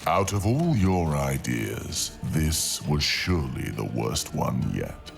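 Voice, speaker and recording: A man narrating a scripted fantasy tale in an ASMR style, with dramatic, deliberate phrasing and long pauses. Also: rumbling low, very animated, gravelly, soft background music. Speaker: audio storyteller, narrator.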